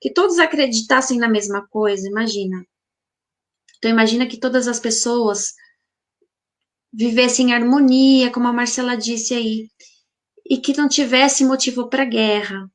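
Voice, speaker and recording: A woman's voice: on the high side, moderate pace. A woman hosts a spiritual healing group, musing aloud in a live reflective talk and asking listeners many rhetorical questions. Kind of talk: live reflective talk answering viewer comments.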